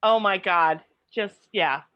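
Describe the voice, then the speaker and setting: A woman's voice: mid-range voice, slow pace, dull audio. A woman in her late fifties co-hosting a podcast, telling stories in casual chat with lots of laughter. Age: 50s. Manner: giggly, animated storytelling with frequent laughter. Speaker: podcast co-host.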